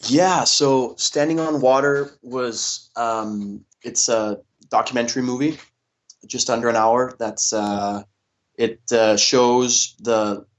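A young man from Denmark answering interview questions in a relaxed way, with many fillers and restarts. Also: medium pitch, takes it slowly. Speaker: interview guest, paddle athlete.

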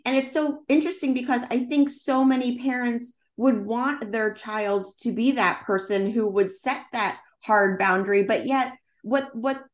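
A woman's voice: a little high, fairly even intonation, measured pace, few pauses. Enthusiastic American woman hosting a podcast. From United States. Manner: enthusiastic, affirming, chatty. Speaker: podcast host and parent. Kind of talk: podcast interview.

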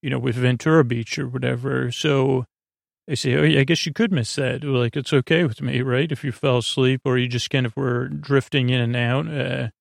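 A man hosting a bedtime sleep podcast in a meandering, halting monologue, interrupting himself with false starts, droning gently and reassuringly. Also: fairly even intonation, creaky, no echo, clean studio sound. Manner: low-pressure, reassuring, droning on purpose.

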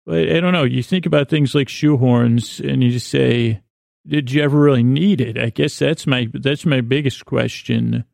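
A man hosting a podcast with a rambling, comedic bedtime monologue, halting and often interrupting himself. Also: medium pitch, darkish tone, no echo, clean studio sound. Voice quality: creaky, gravelly. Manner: halting, meandering, self-interrupting. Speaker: podcast host.

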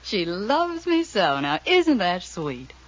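A woman's voice: mid-range voice, big pitch swings, slow. An actress playing a cold, taunting, menacing young woman, the older sister, in a 1940s radio melodrama. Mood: cold mockery. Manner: taunting, sardonic. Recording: dull old radio serial recording.

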